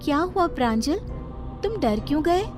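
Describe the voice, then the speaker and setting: Woman acting a high-pitched, girlish lost bride-to-be. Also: animated, normal speed, over music. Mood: curious. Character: mysterious lost young bride-to-be, pleading and anxious. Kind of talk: audio horror story acting.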